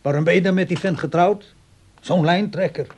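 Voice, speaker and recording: An actor playing a lonely, brooding old widowed father in a radio play, with a slow, halting, musing way of talking. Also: sits high, a little mellow, slightly rough. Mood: grumbling. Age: older.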